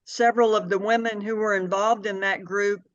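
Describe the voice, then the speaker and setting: An older woman answering as an interview guest in a deliberate, plainspoken, conversational way, on a slightly dull recording. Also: medium pitch, steady tone, no room sound. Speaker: interview guest, community activist and city board member.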